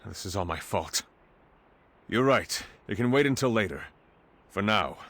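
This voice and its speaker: Earnest, formal palace official. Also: male voice, on the low side, a bit bright. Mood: troubled. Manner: formal, grave. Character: earnest, dutiful male palace official on guard. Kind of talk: video game cutscene voice acting. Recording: music behind the voice.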